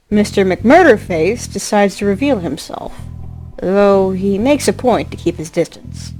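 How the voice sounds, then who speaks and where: A woman narrating a scripted drama as a storyteller, expressive, with a wry touch. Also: a little high. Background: music.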